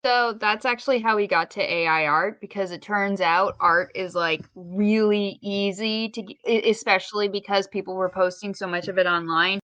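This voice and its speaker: A woman's voice: mid-range voice, fairly even intonation, moderate pace, hardly any pauses, fairly bright tone, no echo. Woman podcasting casually, very dry sound. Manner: informal explaining. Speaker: podcast co-host. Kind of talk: casual conversational podcast chat.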